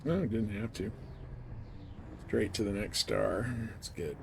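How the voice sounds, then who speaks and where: A man's voice: on the low side, lively intonation, slow pace, vocal fry, noisy background. A man streaming a space game, giving casual gameplay commentary with game sound behind him. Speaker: live streamer playing a space flight game.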